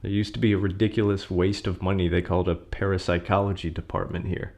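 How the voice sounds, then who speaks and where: Man as wry academic colleague, lowish voice, creaky, sardonic, casual, audio play. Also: level tone, fast talker, darkish tone. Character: wry male academic colleague, scornful yet flirty. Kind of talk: audio drama acting.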